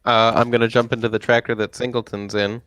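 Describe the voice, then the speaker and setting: A man's voice: mid-range voice, flat delivery, quick pace, fairly bright tone, clean tone, no echo. A man playing a tabletop roleplaying game, talking casually through his actions and dice rolls. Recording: music under the voice.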